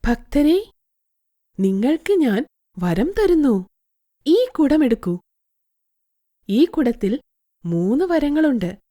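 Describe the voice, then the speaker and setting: A woman's voice: sits high, big pitch swings, slow pace, long pauses, warm tone, no echo, clean studio sound, heavily acted. A woman voicing a benevolent goddess in a storybook tale, solemnly bestowing her blessings. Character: benevolent goddess granting boons to devotees.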